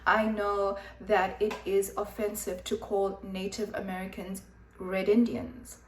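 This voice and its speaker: A young woman from Zimbabwe speaks her mind straight to camera, firm and blunt, often pausing on an "um." Her voice sits at a middle pitch and carries an echo from the room, with the mic some way off. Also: flat delivery. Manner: frank, emphatic, with frequent fillers. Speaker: vlogger. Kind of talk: opinion commentary to camera. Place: room with background noise. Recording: echoey room, voice from a distance.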